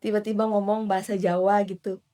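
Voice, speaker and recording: A woman's voice: mid-range voice, flat delivery, a little fast, mellow. A woman hosting a casual interview, chatty, with many fillers and easy laughs.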